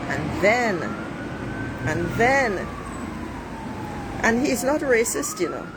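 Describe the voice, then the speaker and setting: Blunt Trinidadian woman, very slow. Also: on the high side, very animated. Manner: blunt, preachy, swears freely. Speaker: life coach livestreaming. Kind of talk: casual monologue to viewers. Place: outdoors near traffic. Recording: street traffic noise behind. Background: passing cars, a siren.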